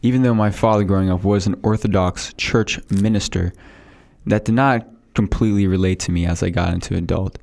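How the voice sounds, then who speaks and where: A man's voice: deep voice, steady tone, a little fast, gravelly, a little mellow. A man playing a boastful male inventor of the 1800s, reading a prepared script aloud in a school podcast role-play. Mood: matter-of-fact.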